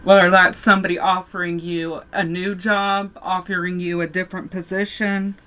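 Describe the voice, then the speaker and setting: A woman's voice: on the low side, level tone, moderate pace, fairly bright tone. A woman with a sore throat giving a casual tarot reading, talking straight to her viewers and often restarting her sentences. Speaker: tarot reader.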